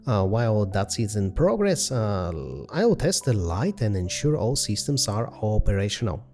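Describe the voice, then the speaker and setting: A man's voice: lowish voice, sing-song, normal speed, a little mellow, soft background music. A man hosting a flight simulator video, giving methodical step-by-step commentary in a hesitant delivery.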